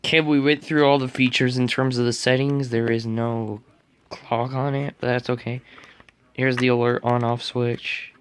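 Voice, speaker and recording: A man's voice: medium pitch, flat delivery, normal speed, clear, resonant tone. A man giving casual unboxing and setup commentary, muttering to himself as he works. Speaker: hobbyist reviewer. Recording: noisy home recording with clicks and beeps.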